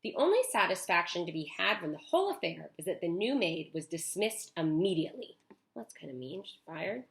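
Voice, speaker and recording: A woman teacher reading a storybook aloud to children, expressive, with chatty asides. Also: lowish voice, a little fast, creaky, rough recording. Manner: animated, with chatty asides to young listeners.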